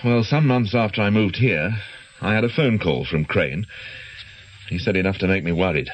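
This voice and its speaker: An actor playing an anxious, guilt-ridden doctor in a radio drama, speaking in hesitant, defensive, educated phrases. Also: male voice, deep voice, normal speed, a little hiss or hum, close to an everyday voice. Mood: uneasy, confessional. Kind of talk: naturalistic radio drama acting.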